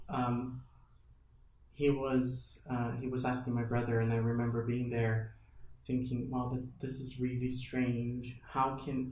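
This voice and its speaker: Man, animated. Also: medium pitch, a little hiss or hum. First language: Portuguese. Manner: animated, acts out his own past thoughts. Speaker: guest. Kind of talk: storytelling in a casual interview. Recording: echoey room, faint music behind.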